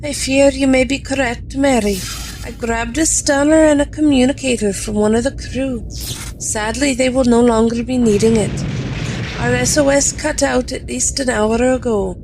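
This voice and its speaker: Rabbit doctor, female actor: high and creaky, anxious but caring, sci-fi audio drama. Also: fairly even intonation, normal speed, a little mellow, slight background noise, lightly acted, low-quality recording. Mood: grim, comforting. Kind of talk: scripted space-adventure audio drama.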